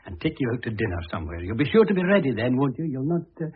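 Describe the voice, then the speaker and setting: An actor in an old-time radio drama playing an irascible, scheming, jealous husband who blusters indignantly and slyly. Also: male voice, medium pitch, very animated, very fast, slight background noise, close to an everyday voice. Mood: smooth, evasive. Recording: muffled, narrow-band old radio recording.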